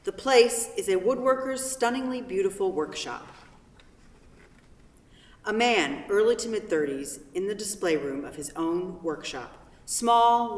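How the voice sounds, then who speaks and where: A woman reading scripted stage directions as the narrator of a staged play reading. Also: fairly low pitch. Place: theatre, recorded from far off. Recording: echoey hall, distant microphone.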